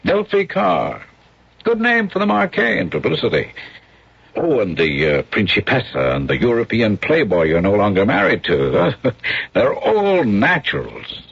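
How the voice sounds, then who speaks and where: An actor playing a brash, slangy, persuasive American showbiz agent in a radio drama, with a cocky hard-sell manner. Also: male voice, lowish voice, wildly swooping pitch, unhurried, vocal fry, a bit bright, slightly rough, heavily acted. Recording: dull, faintly noisy radio broadcast recording.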